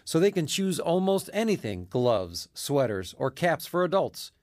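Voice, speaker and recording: A man's voice: on the high side, very animated, a little slow, darkish tone, no room sound, clean studio sound. A man speaking as a charity president in a scripted radio appeal. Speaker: charity mission president, guest.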